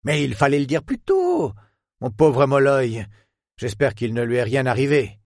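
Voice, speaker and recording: A man's voice: mid-range voice, big pitch swings, normal speed, a little mellow, dry recording. Man putting on an old male historian, effusive, courtly, conspiratorial, dry sound. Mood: jovial. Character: jovial, theatrical old male historian professor.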